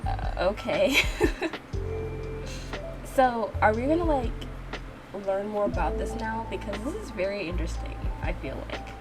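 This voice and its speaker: A woman hosting a gameplay reaction, talking casually and haltingly as she thinks aloud and repeats words. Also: mid-range voice, expressive, loud music behind the voice, rough recording. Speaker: gaming channel host reading visual novel dialogue aloud.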